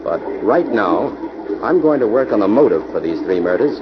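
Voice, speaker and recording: An American man playing a composed, authoritative investigator in 1940s radio drama style, speaking deliberately. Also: lowish voice, big pitch swings. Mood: composed, probing. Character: sharp, composed male investigator, the Avenger. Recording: noisy, dull old radio recording.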